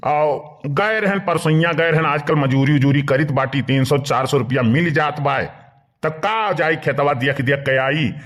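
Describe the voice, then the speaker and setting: A man's voice: a little high, fairly bright tone, lightly acted. A man voicing a teasing village fellow in a comedy cartoon, speaking colloquially with an Awadhi accent.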